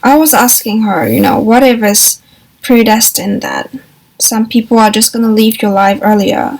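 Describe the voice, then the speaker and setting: A young woman from Hong Kong, a university student, talking softly and hesitantly in a personal confessional vlog, pausing and restarting as she reflects. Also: fairly high pitch, creaky, poor audio. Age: young adult. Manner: soft, hesitant, reflective, with frequent pauses and restarts. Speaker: vlogger.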